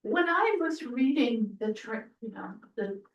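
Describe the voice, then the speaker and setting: A woman's voice: fairly high pitch, lively intonation, measured pace. A woman moderating a panel, asking searching questions. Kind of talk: panel discussion. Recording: dull, roomy, far from mic.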